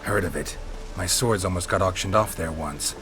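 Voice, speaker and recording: An actor playing a gruff, weary mercenary monster hunter in a video game, laconic and deadpan, with a gravelly voice. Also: male voice, sits low, moderate pace, noisy background, close to an everyday voice. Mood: sardonic. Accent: North American. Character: gruff, weary male monster-hunting mercenary, dry and sardonic. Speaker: player character.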